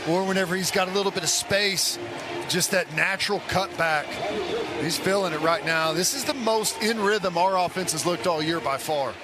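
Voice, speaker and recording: Male football color commentator, high-pitched voice, folksy and conversational, very noisy stadium backdrop. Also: normal speed. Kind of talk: conversational live football analysis. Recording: loud stadium noise behind the broadcast voice. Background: stadium crowd and ambience.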